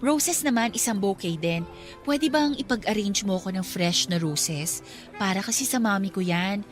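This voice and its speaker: A woman's voice: medium pitch, expressive, moderate pace, faint music behind, close to an everyday voice. A woman playing a friendly, teasing young customer in her twenties in a radio drama, with a Filipino accent.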